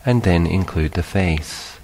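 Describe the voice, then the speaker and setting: A man guides a meditation in a very deep, bass voice. His delivery is slow, calm and instructional, leaving long pauses between short phrases. The sound carries a long reverb, as in a large hall. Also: husky. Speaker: meditation teacher, monk.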